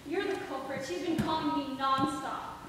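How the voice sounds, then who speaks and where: A woman's voice: sits high, fairly even intonation, moderate pace, close to an everyday voice. A woman on a school stage playing an exasperated, easily embarrassed young granddaughter with wry, incredulous delivery. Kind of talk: high school stage comedy acting. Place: indoor school theater stage, mic far off. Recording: very echoey, distant, noisy stage recording.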